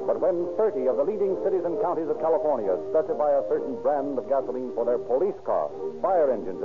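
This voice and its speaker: A man announcing in formal, emphatic, polished 1930s radio style, on an old narrow-band recording. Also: mid-range voice. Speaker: announcer and narrator. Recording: thin 1930s radio recording, music heard.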